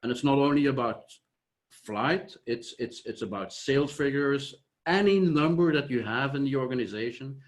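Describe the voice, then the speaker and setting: A man from Flanders with a Flemish accent, whose first language is Dutch, giving a measured, explanatory online conference presentation over a video call. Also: mid-range voice. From Flanders, Belgium. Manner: measured, explanatory, trails off with "et cetera". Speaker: software company founder presenting.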